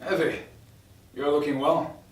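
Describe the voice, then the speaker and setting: A man's voice: fairly high pitch, steady tone, a little slow, lightly acted. Placating male boss read by an actor, echoey room. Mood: cordial. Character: conciliatory businessman boss in his fifties. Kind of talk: script table read. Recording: echoey room, distant mic, faint noise.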